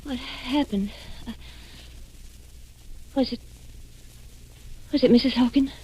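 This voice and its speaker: An actress playing a fragile, grieving heiress in her twenties, halting and breathy, in 1940s radio drama style. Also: high voice, mellow, a little hiss or hum, low-quality recording. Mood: shaken. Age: 20s. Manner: halting, faint, pleading. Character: distraught, fragile young heiress in grief. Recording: old 1940s radio recording.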